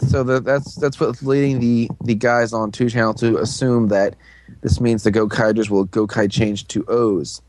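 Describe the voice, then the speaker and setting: A man's voice: mid-range voice, steady tone, normal speed, a little mellow, low-quality recording. A man co-hosting a casual fan podcast, reading out news with many fillers and playful crude jokes. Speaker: podcast co-host.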